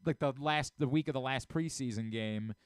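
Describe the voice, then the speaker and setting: A man's voice: mid-range voice, moderate pace, smooth, no echo. A man hosting sports radio in a casual, talkative style, on a close studio microphone. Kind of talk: casual sports radio talk, sponsor reads.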